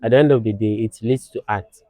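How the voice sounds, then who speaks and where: Young guy, low voice, soft and thoughtful, dry close sound. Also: fairly even intonation, brisk, no echo. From Ghana, born in Nigeria. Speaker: guest, fashion designer. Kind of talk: casual interview.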